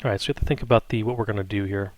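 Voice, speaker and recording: Male math instructor, lowish voice, dry close sound, informal tutorial delivery, thinks out loud and frequently tags on check-in questions. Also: fairly even intonation, racing, no room sound. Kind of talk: improvised step-by-step spreadsheet tutorial.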